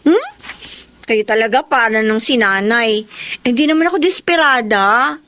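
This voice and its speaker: A woman's voice: fairly high pitch, lively intonation, normal speed, fairly bright tone. A woman playing a feisty, hopeful daughter in a Filipino radio drama, dramatic and sassy, with a Filipino accent. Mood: excited, dreamy. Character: feisty, hopeful daughter dreaming of a foreign husband.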